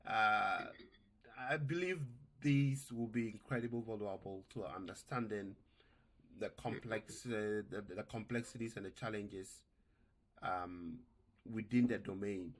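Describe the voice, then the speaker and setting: A man hosting a podcast, reading a prepared introduction and questions slowly and deliberately, with frequent fillers. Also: fairly low pitch. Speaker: podcast host.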